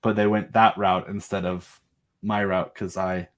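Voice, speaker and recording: A man's voice: low-pitched, level tone, unhurried. Rambling, jokey man in casual chat. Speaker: guest, creator of a giant monster audio drama. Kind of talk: casual enthusiastic interview chat.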